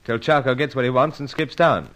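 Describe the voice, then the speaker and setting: An actor playing a suave, wry British secret agent in a radio serial, with urbane, old-fashioned gallant phrasing. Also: male voice, medium pitch, brisk, fairly bright tone, close to an everyday voice. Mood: dryly bantering. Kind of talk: radio serial acting with first-person narration.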